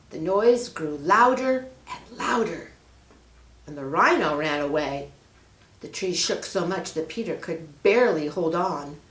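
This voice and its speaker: A woman reads a children's story aloud at a slow, deliberate pace. Her pitch moves widely and expressively as she speaks directly to young listeners. The room adds a noticeable echo. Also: a bit bright. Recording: roomy, echoing room.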